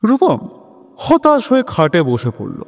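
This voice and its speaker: A man narrating a dramatized audio story in an expressive storytelling manner. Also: high-pitched, a little slow, big-room sound, soft background music.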